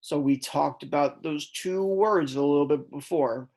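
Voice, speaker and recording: Female kindergarten teacher, low register, unhurried delivery. Instructive read-aloud for small children, simple explanations and prompts. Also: a little mellow.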